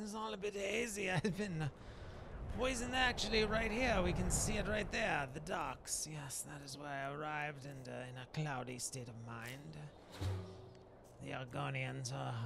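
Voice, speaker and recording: A genteel dark elf shaman from a fantasy game, acted by a man, muses to himself in slow, formal, halting phrases. The voice is gravelly and slightly high, pleased with itself, with clear noise behind it. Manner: musing, halting, chuckling, old-fashioned formal wording. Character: genteel, self-satisfied bearded dark elf shaman adventurer. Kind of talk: voiced roleplay narration of gameplay. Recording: clearly noisy background.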